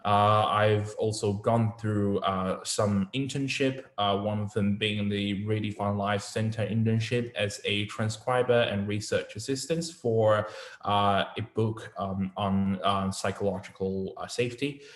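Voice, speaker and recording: Low-voiced young man, halting talk. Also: steady tone, roomy sound. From China. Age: 20s. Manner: halting, thinks aloud as he goes. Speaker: alumni guest speaker. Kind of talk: casual online presentation. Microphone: video call.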